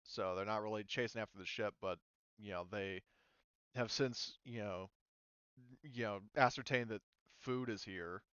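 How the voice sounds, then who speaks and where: A man acting as game master, casually refereeing a tabletop game and thinking aloud in a halting way. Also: on the low side, a bit bright, clear, resonant tone, dry recording, highs cut off.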